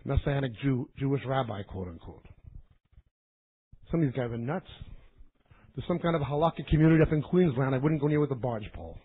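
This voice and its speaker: A man's voice: medium pitch, expressive, a little fast, pauses often, husky. A middle-aged man teaching the Bible in an emphatic, didactic sermon style, repeating phrases for weight. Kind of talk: sermon-like teaching lecture to a live audience. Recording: narrow, phone-like band.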